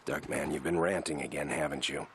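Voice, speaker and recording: A man voicing a stolid cartoon detective's partner in a deadpan, formal and wordy manner, with a husky voice. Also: very deep voice, fairly quick, heavy background noise. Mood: deadpan reproof. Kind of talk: animated comedy acting.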